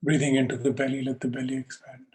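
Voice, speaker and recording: A male yoga teacher leads a guided meditation in a medium-pitched, level voice. He speaks calmly in short, measured phrases and leaves space between instructions. The delivery stays even, with little melodic movement.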